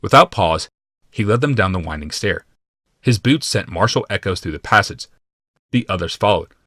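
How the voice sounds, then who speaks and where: A man narrating a fantasy audiobook from a script in a measured, deliberate and dramatic manner. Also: low-pitched, expressive, a bit bright, no room sound, clean studio sound.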